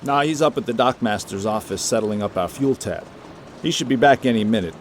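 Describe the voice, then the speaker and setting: An actor playing a blunt, stubborn, profane sea captain and treasure hunter in an audio drama. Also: male voice, mid-range voice, brisk, a little hiss or hum, close to an everyday voice. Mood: dismissive. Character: gruff, stubborn, hot-tempered male boat captain and treasure hunter. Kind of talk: full-cast audio drama acting.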